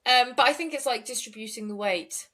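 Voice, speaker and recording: Young woman on a podcast, kinda high voice, giggles a lot, says like constantly. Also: brisk, fairly bright tone. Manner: giggly, chatty, lots of "like". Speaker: podcast co-host. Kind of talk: casual true-crime chat.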